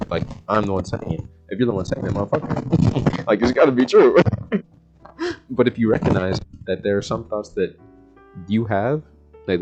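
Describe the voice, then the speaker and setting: A man's voice: fairly low pitch, darkish tone, lo-fi sound. A young American man giving a casual self-help monologue in a laid-back, slangy, rambling way. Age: young adult. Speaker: vlogger.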